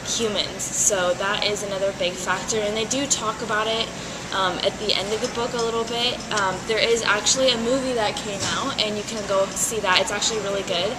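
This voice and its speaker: A woman at mid pitch answers interview questions in a halting way, full of fillers. A loud steady noise fills the background of the homemade recording. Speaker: guest playing an author in a mock interview. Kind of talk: school mock interview. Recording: loud noisy amateur recording.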